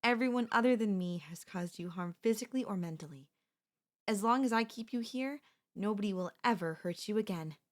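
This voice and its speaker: A young woman playing an obsessive, possessive kidnapper and childhood friend in an ASMR audio roleplay, speaking in a soft, intimate, pleading manner. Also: medium pitch, fairly quick, natural voice. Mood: soothing, possessive.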